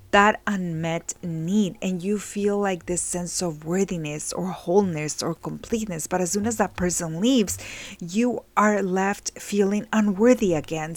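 A woman's voice: lowish voice, no echo. A middle-aged woman from Mexico, giving an earnest, reflective talk on healing and relationships, often asking "right?" as she goes. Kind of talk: casual motivational talk.

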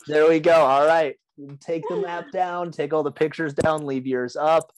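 A young man with a raspy, hoarse voice from being sick, hosting a casual podcast chat and rambling with lots of "like" and restarts. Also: on the high side, fairly even intonation, a little slow, poor audio. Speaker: podcast host.

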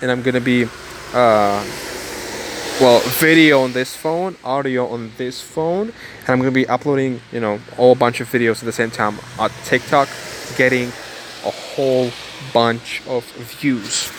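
A man's voice: medium pitch, moderate pace, background noise. A nineteen-year-old man vlogging casually and rambling through a repetitive motivational pep-talk outdoors. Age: young adult. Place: outdoors in the cold.

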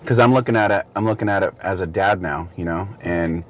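Co-host: man with a very deep voice, easygoing and explanatory, casual podcast chat. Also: brisk. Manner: explanatory, thinking aloud.

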